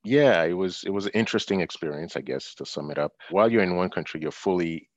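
A middle-aged man with an extremely deep voice. He talks thoughtfully, with lots of fillers and restarts, as a guest in a casual interview. The recording is very dry and a little dull. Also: expressive, fast, no echo. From Nigeria and the US.

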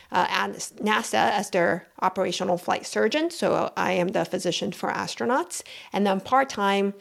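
A woman in her thirties from Taiwan, a physician guest on an interview, explaining in a steady, matter-of-fact way. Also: mid-range voice, vocal fry. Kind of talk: interview conversation.

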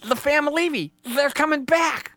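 A man's voice: very high-pitched, unhurried, sharp tone, slight background noise, pitched above the performer's own voice, full character voice. A man voicing a sweet, timid, anxious little rabbit with a skittish manner in a children's story. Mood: alarmed.

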